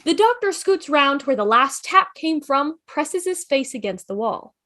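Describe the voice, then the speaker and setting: A woman's voice: sits high, sing-song, normal speed, a bit bright. A woman giving dramatic, punchy scripted narration at an amateur table read, voicing sound effects as plain words. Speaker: narrator reading stage directions.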